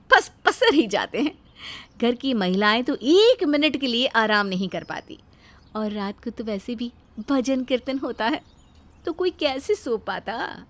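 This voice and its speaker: A woman with a slightly high voice narrates a scripted domestic tale. She tells it as a storyteller would, line by line, for listeners following along.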